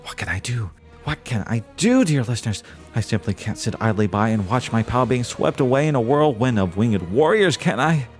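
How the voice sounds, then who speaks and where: A man playing an excitable, earnest small-town radio reporter with a florid, theatrical way of narrating. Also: mid-range voice, moderate pace, faint music behind, close to an everyday voice. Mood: alarmed excitement. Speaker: host and narrator of an audio drama. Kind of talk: old-time radio style dramatic narration.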